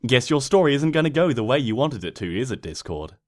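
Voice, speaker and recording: A man's voice: mid-range voice, big pitch swings, fast, no echo, studio quality, natural voice. A man voicing an irritated, stubborn young female pony heroine in a comic storybook, speaking in an exasperated, indignant manner. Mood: snotty, smug.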